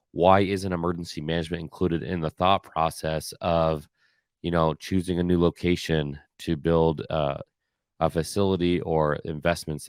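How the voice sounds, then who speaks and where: A man hosting a podcast alone, slightly hoarse and raspy, talking casually with many fillers and restarts. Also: low voice, dry recording, clean studio sound. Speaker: podcast host. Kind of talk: solo casual year-in-review talk.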